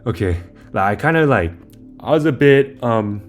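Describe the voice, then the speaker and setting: A man's voice: mid-range voice, lively intonation, normal speed, soft background music. A young man giving a casual, informal game walkthrough, rambling a little as he talks through each step while playing. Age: young adult. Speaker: video maker giving game guide.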